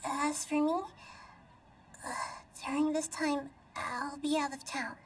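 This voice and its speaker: A woman voicing a small young female deity in a video game, calm, wise and gentle, explaining in a measured way. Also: high-pitched, far from the mic, slight background noise, rough recording. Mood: grave, resolved. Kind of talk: video game cutscene acting.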